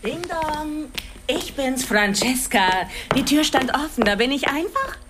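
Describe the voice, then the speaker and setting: An actress playing a breezy porn performer as an Italian waitress, in hammy radio-comedy dialogue. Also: female voice, sits high, expressive, unhurried, background noise. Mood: coy.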